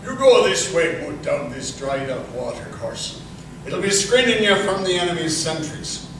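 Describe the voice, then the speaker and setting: A man playing a scheming, falsely reassuring army sergeant in an old-time radio drama, speaking deliberately. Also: a little high, animated, over music, close to an everyday voice. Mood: slyly reassuring. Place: live stage performance in a museum. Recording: very echoey hall, distant mic, noisy.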